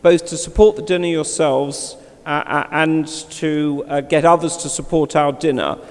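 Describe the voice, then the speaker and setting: A man chairing a formal meeting in a large hall, speaking loudly and emphatically as he appeals to the room. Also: fairly high pitch, fairly even intonation, moderate pace. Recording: reverberant hall.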